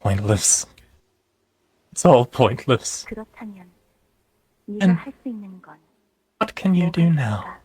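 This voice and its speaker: A man's voice: big pitch swings, drawn-out words, pauses often, darkish tone, breathy edge, exaggerated voice. A man voicing an anguished, self-loathing young man maddened by grief over lost love, in an amateur game dub. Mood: despairing. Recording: low-quality amateur voice-chat recording.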